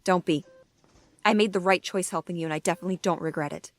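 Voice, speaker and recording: Woman playing lady police captain in an audio drama, lowish voice, dry and straight-talking. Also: quick pace, close to an everyday voice. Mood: resolute, supportive. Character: steady, frank female police captain, supportive and wry. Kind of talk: audio drama acting, naturalistic dialogue.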